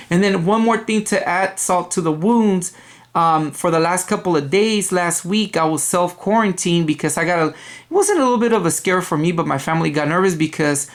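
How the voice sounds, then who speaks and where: A young man with a loud voice, rambling casually in a video game haul vlog, full of ums and restarts. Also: fairly high pitch. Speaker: video game collector vlogger. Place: apartment dining room at night.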